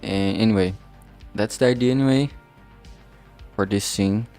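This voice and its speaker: A man talking casually and haltingly in a rambling vlog, informal and self-deprecating, with some swearing. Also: on the low side, darkish tone. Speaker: indie visual novel creator and vlog host. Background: background music.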